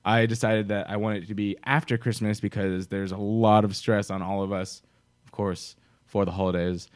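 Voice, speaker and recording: Chatty young man with low voice. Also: flat delivery, moderate pace. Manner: chatty storyteller, laughs often. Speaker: podcast co-host. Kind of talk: casual chat.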